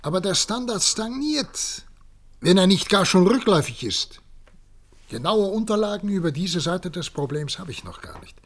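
An actor playing a grave, statesmanlike president in a radio play, speaking in a measured, formal, oratorical way. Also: male voice, sits high, big pitch swings. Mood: sober, worried. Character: grave statesmanlike male president of future humanity. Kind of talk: German radio play acting.

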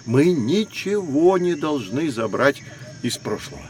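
An actor playing a fussy, kindly professor who guides children in an educational audio drama, excitable and exclamatory, yet gentle when explaining. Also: male voice, a little high, unhurried, a little hiss or hum. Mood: gently warning.

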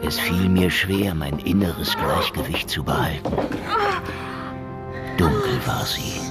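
An actor playing a cynical, tough private detective, sardonic and hard-boiled, in a noir radio drama. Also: male voice, a bass voice, slow, exaggerated voice. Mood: brooding. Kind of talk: noir radio drama with first-person narration. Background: music.

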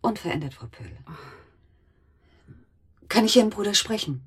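An actress playing a shaken married woman in a radio drama, urgent and insistent, often halting and repeating herself. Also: medium pitch, very animated, breathy edge. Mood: tense, controlled.

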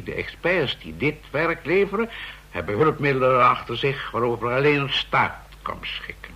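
A man's voice: medium pitch, creaky, sharp tone, husky, full character voice, low-quality recording. An actor playing an insistent male counterfeiting expert explaining his case to officers in a radio drama.

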